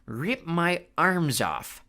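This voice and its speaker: A man booming as a slow brutish cyclops. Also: big pitch swings, sharp tone, full character voice. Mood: gruff brooding. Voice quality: slow, booming, halting broken grammar. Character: hulking one-eyed male cyclops, brutish.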